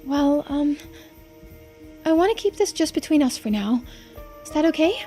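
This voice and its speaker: A woman's voice: sits high, animated, moderate pace, warm tone, faint music behind. A woman voicing a playful, ageless teenage girl in a video game, with a hesitant, understated and coy way of talking. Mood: hesitant, confiding. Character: immortal centuries-old girl who looks seventeen, coy, playful. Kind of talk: voiced visual novel playthrough.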